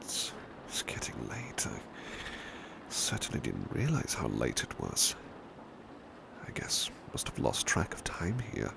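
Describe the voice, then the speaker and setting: A man acts out a devoted British butler in an ASMR roleplay, with an extremely low voice full of creaky fry. He murmurs gently and courteously, a little hesitant, with pitch that swings widely from phrase to phrase. He sounds like a big, protective servant keeping close watch. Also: unhurried, heavily acted, low-quality recording. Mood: cosy, tender. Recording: steady background noise, rain ambience. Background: rain ambience.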